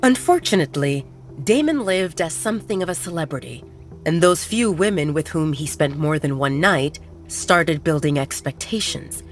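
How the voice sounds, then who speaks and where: A woman's voice: low voice, lively intonation, normal speed. A woman narrating a scripted romance audiobook in a dramatic storytelling style. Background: faint background music.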